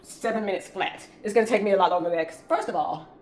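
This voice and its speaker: A woman's voice: medium pitch, fast, a little mellow. A chatty woman with a Southern US accent, talking casually as she hosts a home cooking demonstration. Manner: chatty, informal. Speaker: home cooking vlog host. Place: indoors in a home kitchen. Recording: roomy kitchen echo, clattering utensil noise.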